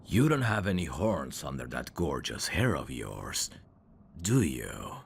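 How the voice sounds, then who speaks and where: A man playing a suave, teasing demon in an intimate ASMR roleplay, courteous and flirtatious, with a gravelly voice. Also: extremely low pitch, very animated, a little slow, slight background noise, full character voice. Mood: intrigued, teasing. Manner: smooth-talking, flirtatious, formal phrasing with hesitations. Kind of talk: intimate ASMR roleplay acting addressed to the listener.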